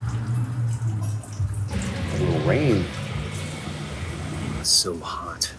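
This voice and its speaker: A man speaking casually in a self-recorded video diary on location, thinking aloud in a halting way with repeated words. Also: low voice, lively intonation, loud music behind the voice. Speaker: audio drama writer and producer. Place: coffee shops and outdoors, on location. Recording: noisy on-location recording with ambient sound.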